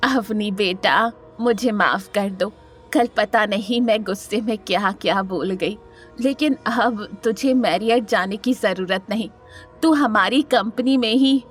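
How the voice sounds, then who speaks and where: A woman's voice: mid-range voice, a little slow, faint music behind, lightly acted. A woman playing an imperious, scheming elderly family matriarch with a commanding manner in an audio drama. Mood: falsely sweet. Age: older. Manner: scolding, commanding. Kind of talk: Hindi audio drama acting.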